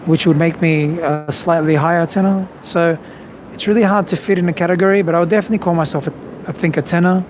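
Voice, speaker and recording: A man's voice: on the high side, fast talker. A man, a vocal coach, chatting relaxedly on a live stream and answering viewer questions. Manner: relaxed, chatty, thinking aloud with fillers. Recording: noisy background.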